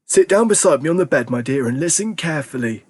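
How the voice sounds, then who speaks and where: A man voicing an eager, excitable grandfather in his nineties, exclaiming with theatrical emphasis. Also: a little high, fast talker, slightly rough, voice pushed up. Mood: excited. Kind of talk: audiobook character reading.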